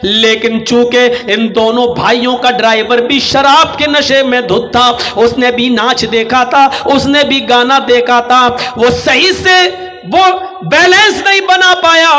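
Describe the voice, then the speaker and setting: Very high-pitched, piercing male preacher. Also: slow pace, pushed and bright, faint music behind. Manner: declamatory, dramatic storytelling, acting out dialogue. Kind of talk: impassioned religious sermon told as a cautionary story.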